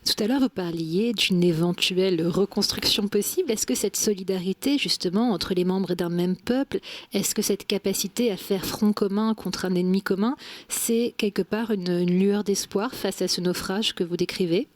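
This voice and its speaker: A woman hosts a radio interview and puts her questions in a clear, composed way. Her voice sits at a middle pitch, recorded close and dry. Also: normal speed, no room sound.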